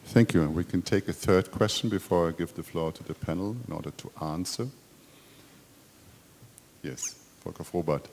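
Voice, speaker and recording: A man chairing a conference panel and handing out the floor, brisk and courteous. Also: low voice, gravelly, darkish tone, a little hiss or hum. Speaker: session chair. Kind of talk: moderating a panel.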